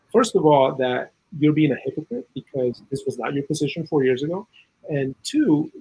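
A man from South America giving earnest, opinionated political commentary in a conversational way, with a husky voice and plenty of "you know". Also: on the high side, low-quality recording. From South America, Chile. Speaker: interview guest, political and legal commentator.

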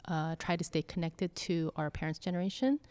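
A woman talking slowly and thoughtfully about faith and church life, pausing often with soft fillers. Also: on the low side, clean tone. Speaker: church member interviewee. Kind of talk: reflective conversation.

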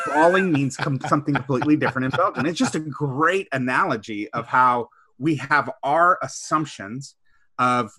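A man talking in a casual discussion, his voice bright and forceful. He tells stories with many asides, recorded dry and close. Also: mid-range voice, no echo. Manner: conversational, storytelling with asides. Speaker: podcast co-host.

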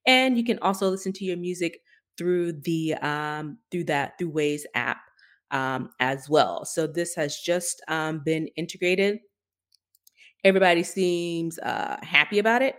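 A woman hosting a tech podcast, explaining things casually in a measured way with pauses. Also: lowish voice. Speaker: podcast host.

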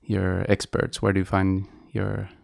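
A middle-aged man from Sweden, a podcast guest who speaks English hesitantly and softly, with many restarts. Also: sits low, completely flat delivery, measured pace, vocal fry, dry recording, studio quality. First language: Swedish. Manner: hesitant, soft-spoken, many restarts, light laughs. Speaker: guest, musician. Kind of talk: podcast interview.

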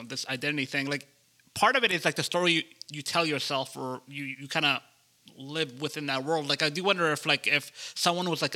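Higher, very sharp-voiced man chatting. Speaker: participant in a group conversation. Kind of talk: casual chat.

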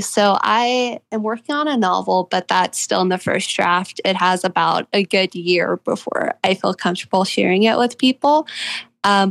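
A woman's voice: medium pitch, gravelly. A young adult woman talking casually as an interviewed author, agreeable and earnest in manner.

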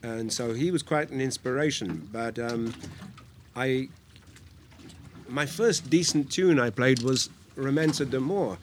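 A man from near London, a guitar teacher who tells relaxed, rambling stories on a podcast. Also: mid-range voice, a little slow, a little hiss or hum. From England, near London. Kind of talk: podcast conversation. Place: on a boat in a harbour.

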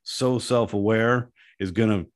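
A man with a deep voice talking in a casual interview, an animated and self-deprecating storyteller. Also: unhurried. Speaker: guest, app founder.

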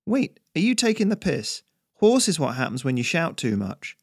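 A man's voice: a little high, very animated, moderate pace, breathy edge, no echo, studio quality, voice pushed up, exaggerated voice. A man voicing a puzzled, indignant young farm lad in an audio story. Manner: incredulous, earnest, plain.

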